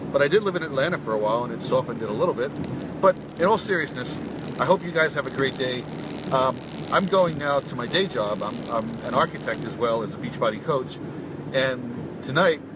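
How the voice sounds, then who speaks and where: A middle-aged man with a New York accent, a fitness coach giving a casual, emphatic pep talk to his phone from a parked car. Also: mid-range voice. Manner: chatty, emphatic, self-deprecating asides. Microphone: phone held close, front camera. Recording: car with clear road noise.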